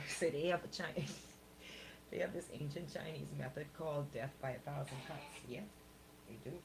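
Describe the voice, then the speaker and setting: A Bahamian woman with a slightly low voice co-hosts radio. She talks in a blunt, colloquial way, whether chatting or reading out news, and there is clear noise on the recording. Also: normal speed. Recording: clearly noisy radio show audio.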